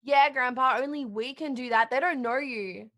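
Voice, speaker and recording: A woman's voice: high-pitched, moderate pace, a bit bright. A woman playing a pert, whiny, bossy little granddaughter in a comic play.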